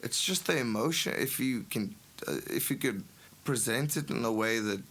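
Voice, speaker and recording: A man's voice: medium pitch, lively intonation, moderate pace, a bit bright. A young man with an Afrikaans accent, a musician guest in a casual podcast chat, rambling with lots of fillers and restarts. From South Africa.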